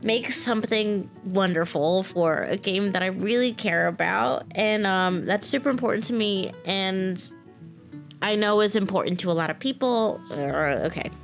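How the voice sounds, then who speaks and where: A woman talking casually in a vlog announcement, informal and halting, often restarting her sentences. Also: mid-range voice, vocal fry, faint music behind. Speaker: voice actor, software engineer and game modder.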